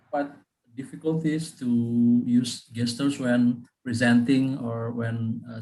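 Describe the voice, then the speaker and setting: A man's voice: medium pitch, low-quality recording. A man from Indonesia giving short, hesitant remarks at an online club meeting, full of fillers. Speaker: club member, speech winner. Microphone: video call.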